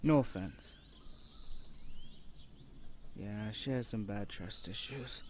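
A man's voice: lowish voice, slow, slight background noise. A man playing a shy, flustered young male university student in an ASMR roleplay, stammering and speaking in a hesitant, awkward, earnest way. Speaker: voice actor. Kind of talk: ASMR boyfriend audio roleplay.

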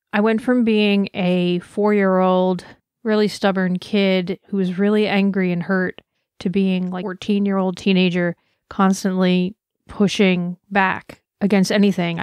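Flat-voiced, earnest woman presenter. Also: fairly low pitch, smooth, no room sound. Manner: earnest, passionate, reflective. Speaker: conference presenter. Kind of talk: prepared personal talk.

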